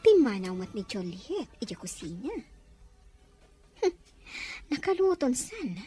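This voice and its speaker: A woman's voice: fairly high pitch, big pitch swings, unhurried, dark tone, soft background music. A woman playing a sly, falsely sweet young schemer in an Ilocano-accented audio drama. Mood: sly, scheming. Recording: faint background noise.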